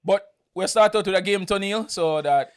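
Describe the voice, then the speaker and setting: A man's voice: high-pitched, moderate pace, clean tone. A Jamaican man in his thirties, hosting a casual podcast chat in a playful, teasing way. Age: 30s. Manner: playful, teasing, repeats himself. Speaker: podcast host.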